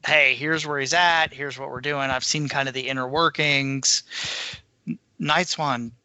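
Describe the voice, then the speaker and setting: A man's voice: medium pitch, steady tone, measured pace, sharp tone, clear, resonant tone, no echo. A man co-hosting a book podcast, chatting casually about what he has read. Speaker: podcast co-host discussing books.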